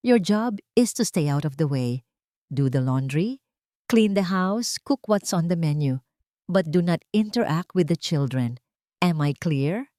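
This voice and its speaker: A woman's voice: sits low, animated, measured pace, warm tone, dry recording, studio quality. Woman voicing a haughty, bossy Filipino female expert. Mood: cold, bossy. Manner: commanding, clipped, condescending. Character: haughty, strict female child-therapy expert, bossy and cold. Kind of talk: audiobook character dialogue mixing Tagalog and English.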